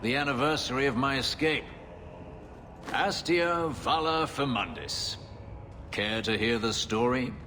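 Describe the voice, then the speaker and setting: A man's voice: mid-range voice, expressive, unhurried, pushed and bright, slight background noise. An actor playing a bitter elven male ex-slave warrior in a video game, grave and clipped. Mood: wry. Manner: grave, clipped, controlled intensity. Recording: game audio with reverb and music.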